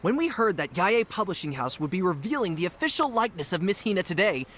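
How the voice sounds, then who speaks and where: A woman's voice: on the low side, very animated, fast, a bit bright, a little hiss or hum. A woman playing a devoted fan club leader in a video game, addressing people in a solemn, rousing, speech-like way. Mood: adoring.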